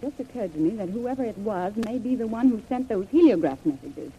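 A woman's voice: medium pitch, animated, fast talker, close to an everyday voice. A woman playing a keen, alert adventuress in a vintage radio serial, eager and emphatic. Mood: intrigued. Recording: muffled, noisy vintage radio recording.

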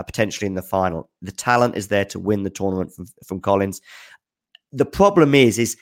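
A man hosting a tennis news show, answering viewer questions in casual, off-the-cuff spoken commentary, thinking aloud with enthusiasm. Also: medium pitch.

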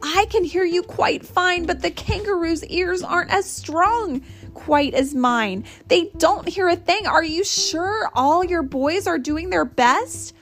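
Woman voicing an earnest, pleading storybook elephant, high and lively. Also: slow, soft background music, heavily acted. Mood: urgent. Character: earnest, loyal, pleading male elephant with big heart.

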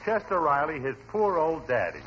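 Pushy happy announcer, lively pitch, slick patter, old radio comedy, faint hiss. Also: male voice, normal speed. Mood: breezy, cheery. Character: relentlessly cheery, pushy roving radio reporter and pitchman. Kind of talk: 1940s radio announcer parody. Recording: muffled vintage radio recording with hiss.

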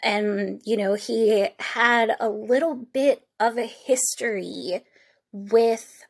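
Slow-paced woman telling true crime conversationally. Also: fairly high pitch, creaky, slightly rough. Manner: informal, reflective, halting phrasing. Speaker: true crime podcast host.

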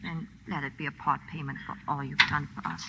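An actress playing an anxious, grateful French fugitive's wife in a 1940s radio drama, speaking earnestly and formally. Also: female voice, sits low, flat delivery, fairly quick, gravelly. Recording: old radio recording, faint noise.

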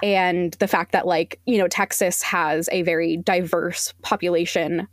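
A woman's voice: on the low side, flat delivery, measured pace, no room sound, clean studio sound. A woman from Arizona speaking as a podcast guest in casual interview chat, opinionated, wry and sweary. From Arizona, United States.

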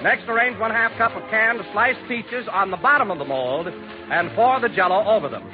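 High-voiced American 1940s radio announcer man. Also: expressive, normal speed, over music. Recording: muffled vintage 1940s radio recording.

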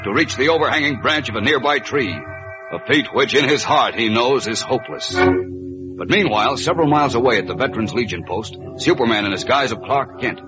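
An actor as a portentous, suspense-building narrator of a 1940s radio serial. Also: male voice, medium pitch, animated, brisk, music in the background, lightly acted. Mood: suspenseful. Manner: dramatic, portentous. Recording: dull vintage radio recording.

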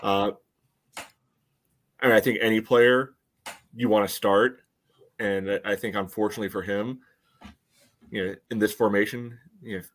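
Male sports podcast panelist, lowish voice, casual analysis, measured and hedging delivery. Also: fairly even intonation.

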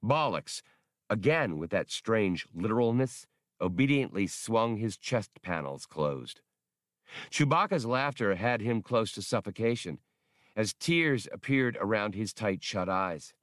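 A man narrating an unabridged audiobook in a measured storytelling manner. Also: on the low side, lively intonation, a bit bright, no echo, studio quality.

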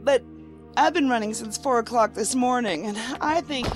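An actress playing a weary, dry-witted federal agent in an audio drama. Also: female voice, fairly high pitch, moderate pace, soft background music, lightly acted. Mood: weary, irritated.

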